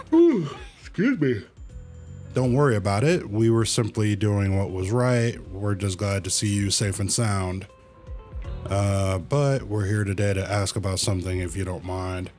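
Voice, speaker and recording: A man with a fairly low voice talks through a game on a livestream. He is laid-back and colloquial, switching between reading dialogue aloud and muttering his own commentary. Music plays softly behind him. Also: animated, a little slow, long pauses. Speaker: game streamer.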